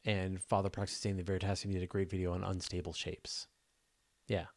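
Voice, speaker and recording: A man's voice: low-pitched, level tone, a little fast. A man hosting a live astronomy question show, chatting casually and off the cuff with informal enthusiasm.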